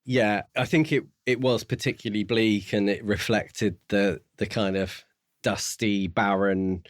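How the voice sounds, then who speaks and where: Low-pitched man co-hosting a podcast. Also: steady tone, measured pace, dry recording, clean studio sound. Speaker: podcast co-host. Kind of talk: casual book discussion.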